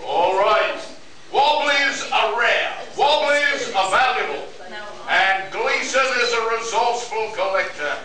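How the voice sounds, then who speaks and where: High-pitched, weary cynical space adventurer played by a man. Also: lively intonation, takes it slowly, a crowd in the background, heavily acted. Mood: exasperated. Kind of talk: stage radio play in pulp science fiction style. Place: live performance in a convention room. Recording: echoey hall from far off, audience murmur.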